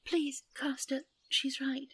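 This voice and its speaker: A woman's voice: high voice, flat delivery, slow, a bit bright. A woman voicing a young fae in an audio roleplay, earnest and timid, with a hesitant, deferential, pleading manner.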